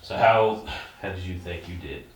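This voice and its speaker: Male interviewer, very low voice, casual chat, heavily echoing room. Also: normal speed. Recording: very echoey room, distant mic.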